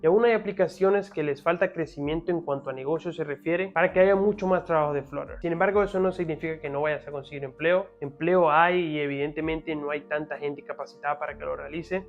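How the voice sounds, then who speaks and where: A young man, a software developer, explaining a learning path in a casual, friendly tutorial and talking straight to the viewer. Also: fairly high pitch, steady tone, a little fast, few pauses, faint music behind. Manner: friendly, direct, addresses viewer as tú, dry jokes. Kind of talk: casual tutorial talk to camera. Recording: tinny phone-like sound.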